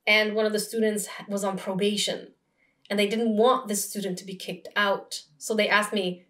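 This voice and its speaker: A woman's voice: medium pitch, little pitch movement, moderate pace, a bit bright, echoey room, far from the mic. A woman in her thirties, a teacher, giving firm opinions on a casual podcast. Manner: opinionated, explains and sums up. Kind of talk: casual chat. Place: small room at home, indoors.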